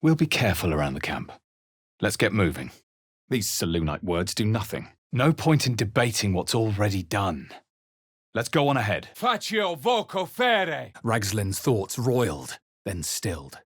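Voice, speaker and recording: Low, husky, brooding fantasy adventurer. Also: male voice, big pitch swings, measured pace, dry recording, clean studio sound, heavily acted. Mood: dry, wry, then incanting. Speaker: player character voice in a fantasy role-playing video game. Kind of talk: video game voice lines, exploration remarks, spell chants and inward narration.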